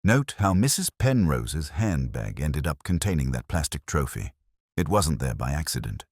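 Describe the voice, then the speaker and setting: A man voicing a mild, shrewd English police inspector in a mystery story, speaking gently, smoothly and politely. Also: rumbling low, big pitch swings, normal speed, dry recording, studio quality, heavily acted. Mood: quietly shrewd. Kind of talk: audio mystery story dialogue.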